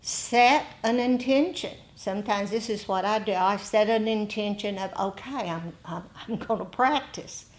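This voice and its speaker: An American woman giving an informal dharma talk to a group as a meditation teacher, conversational, with frequent pauses between short phrases. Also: mid-range voice.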